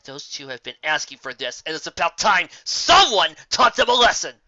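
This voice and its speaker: A story reader voicing a hot-tempered, righteous young female pegasus pony with forceful, exasperated delivery. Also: mid-range voice, very animated, normal speed, no echo, lo-fi sound, heavily acted. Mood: furious, exasperated. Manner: forceful, exasperated, growling threats.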